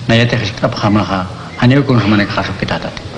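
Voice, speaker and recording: Un hombre que interpreta a un personaje gruñón de voz grave en una radionovela. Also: male voice, lowish voice, fast talker, noisy background, natural voice. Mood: grumbling. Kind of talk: radio drama acting.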